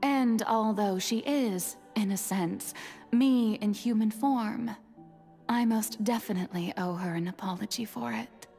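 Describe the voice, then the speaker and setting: An actress voicing an ancient, wistful water goddess in a video game, speaking with theatrical, eloquent poise and a soft tone. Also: female voice, mid-range voice, vocal fry. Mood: sorrowful, solemn. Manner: theatrical, measured, eloquent delivery. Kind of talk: video game dramatic monologue. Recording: slight hall reverb, music behind.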